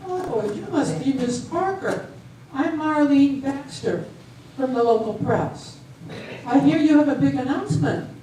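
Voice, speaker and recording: A woman's voice: high-pitched, unhurried, mellow. A woman in an amateur stage reading, playing a nosy local newspaper reporter with a wry, teasing manner. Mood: inquisitive. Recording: echoey hall, distant mic, faint noise.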